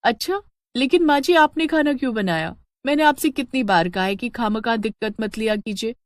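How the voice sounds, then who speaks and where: A woman voicing a kind, dutiful village paan seller, polite and earnest, in an animated story. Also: a little high, normal speed, no echo, clean studio sound, close to an everyday voice. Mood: caring, earnest. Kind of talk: animated Hindi storybook acting.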